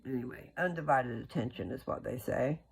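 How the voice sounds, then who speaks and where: A husky voice with plenty of gravelly creak, set at a medium pitch. The speaker hosts an informal religious talk, earnest and folksy. The delivery rambles, drifting into asides and returning to the message. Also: a little fast, poor audio. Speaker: religious video host.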